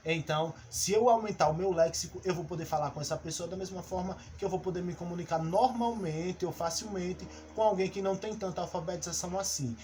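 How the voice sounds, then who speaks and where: A male teacher the explains his lesson step by step. He pauses on fillers and restarts as he goes. His voice sits a little high, with faint noise behind it. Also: darkish tone. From Brazil. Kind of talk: recorded class lesson.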